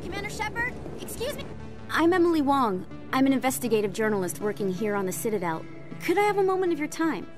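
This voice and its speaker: Eager, high-voiced investigative journalist. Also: female voice, very animated, fast talker, music in the background. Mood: polite, eager. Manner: polite, earnest, persuasive. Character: eager, persuasive female investigative journalist on a space station. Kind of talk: video game dialogue acting.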